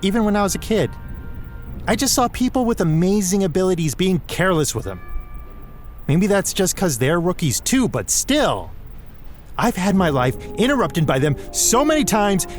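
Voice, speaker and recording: A man's voice: high-pitched, big pitch swings, moderate pace, faint music behind. A young man playing an awkward, wry henchman recruit in an audio drama, hesitant and sheepish. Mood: disillusioned, wry.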